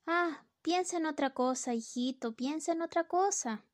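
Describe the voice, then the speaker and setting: A woman's voice: sits high, normal speed, natural voice. A woman acting a flippant, bossy wife who nags her husband, in an amateur radio play. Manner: flippant, nagging. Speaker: student radio drama actor.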